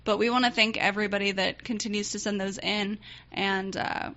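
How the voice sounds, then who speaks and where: Playful female co-host. Bright, higher voice, dull lo-fi recording. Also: fairly even intonation, a little fast. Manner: giggly and playful. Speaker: podcast co-host. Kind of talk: casual podcast chat. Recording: muffled lo-fi podcast audio.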